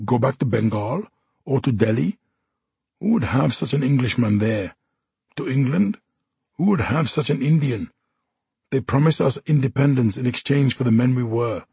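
A man's voice: medium pitch, creaky, mellow, husky, no echo, pitched above the performer's own voice. A man voicing an embittered, drunk one-handed soldier who talks in a formal, officious way and growls. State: drunk and unsteady.

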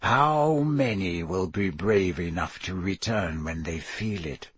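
A man voicing a cruel dark wizard villain for an audiobook, speaking with cold, soft, lazy menace and a faint hiss. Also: on the low side, big pitch swings, breathy edge, exaggerated voice. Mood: soft, exultant. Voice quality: cold, hissing softness. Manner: slow, lazy, deliberate menace. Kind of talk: audiobook character voice.

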